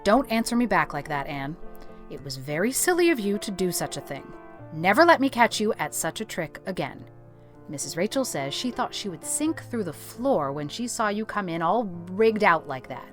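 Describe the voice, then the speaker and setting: A woman playing a stern, practical older guardian in an audiobook drama, brisk, scolding and no-nonsense, yet caring underneath. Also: medium pitch, big pitch swings, faint music behind, natural voice. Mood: vexed scolding. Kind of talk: audiobook drama character acting.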